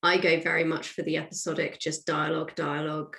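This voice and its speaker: A woman's voice: sits low, level tone, a little fast, vocal fry, fairly bright tone. Chatty woman in a casual interview. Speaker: guest, audio drama writer.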